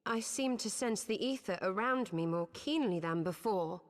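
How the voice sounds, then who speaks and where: Actress as mage lady in a game cutscene, calm and formal, middle pitch, big hall reverb. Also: moderate pace, lightly acted. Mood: calm, grave. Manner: archaic, formal phrasing. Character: composed, wise adult female mage, formal and measured. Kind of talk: video game cutscene acting.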